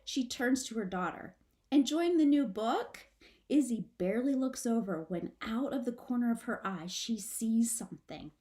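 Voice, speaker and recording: A woman reading a children's book aloud like a teacher, with dramatic, expressive shifts of emphasis. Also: fairly high pitch, moderate pace, hardly any pauses. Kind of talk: expressive storybook read-aloud.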